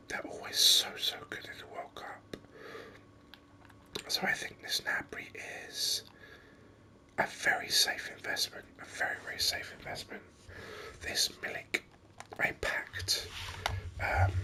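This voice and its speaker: A person giving whispered, breathy ASMR commentary on a video game, speaking softly in an unhurried, explanatory way into a close microphone. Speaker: gaming video host.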